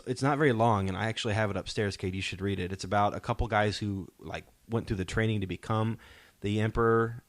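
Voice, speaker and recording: A man's voice: fairly low pitch, no room sound. A man hosting a podcast in casual pop-culture discussion, explaining his points and posing rhetorical questions. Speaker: podcast host. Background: soundtrack music playing faintly.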